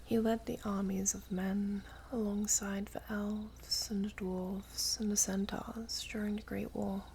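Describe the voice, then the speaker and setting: A woman playing an ageless, gently teasing, wistful elven maiden in an ASMR roleplay, speaking softly and half-whispered with archaic, unhurried phrasing. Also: mid-range voice, flat delivery, a little hiss or hum. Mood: pensive, reverent. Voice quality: soft, breathy, half-whispered. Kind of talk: ASMR roleplay, slow intimate storytelling.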